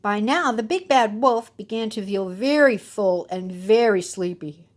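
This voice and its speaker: A woman's voice: mid-range voice, lively intonation, measured pace. A woman telling a children's fairy tale expressively, with dramatic and playful emphasis. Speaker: storyteller.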